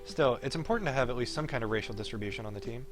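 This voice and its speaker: A man's voice: mid-range voice, racing. A man streaming a game, reading its text aloud and chatting casually in between. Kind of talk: reading a visual novel aloud on a live stream with casual chat. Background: game music.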